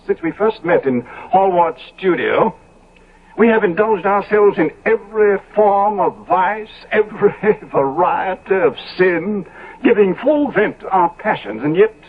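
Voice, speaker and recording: Wry, weary ageing aristocrat. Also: male voice, sits high, animated, unhurried, husky, heavily acted. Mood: curious, envious. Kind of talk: 1970s radio drama acting. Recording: tinny old radio recording, crowded background.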